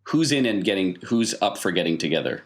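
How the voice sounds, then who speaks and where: Low-voiced man running a tabletop game. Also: very animated, a little fast. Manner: conversational, prompting players with questions. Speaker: game master. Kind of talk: improvised tabletop game narration.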